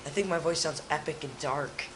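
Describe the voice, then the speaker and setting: A young man with a raspy, hoarse voice from a cold, rambling in casual chat as a channel co-host. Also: a little high, fairly quick, background noise. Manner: rambling, restarts often.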